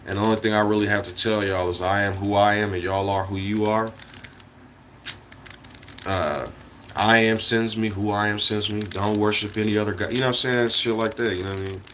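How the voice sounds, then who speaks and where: A man's voice: fairly low pitch, fairly even intonation, fairly quick, a bit bright, slight background noise. An American man giving a casual, rambling livestream monologue in a blunt, opinionated way, with swearing and meandering asides. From United States. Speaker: live streamer.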